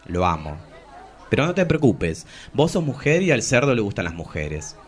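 An actor playing a bawdy, melancholic travesti poet in their forties in a theatrical, confessional radio drama. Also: male voice, medium pitch, very animated, moderate pace, lightly acted. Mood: smitten. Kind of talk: radio drama dialogue and monologue.

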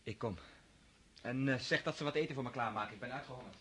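A man's voice: mid-range voice, fast, pushed and bright, slightly rough. An actor in a radio play, voicing a young nobleman and officer home on leave, eager and hearty. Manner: eager and hearty, turning dutiful.

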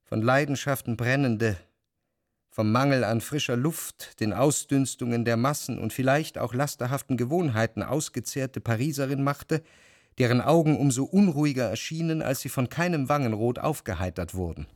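An actor playing a jealous, introspective lover who narrates in the first person in a measured, deliberate way, in a literary radio drama. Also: male voice, mid-range voice, dry recording, clean studio sound, close to an everyday voice. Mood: wry, reflective. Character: jealous, brooding male lover recounting his obsessions. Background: music.

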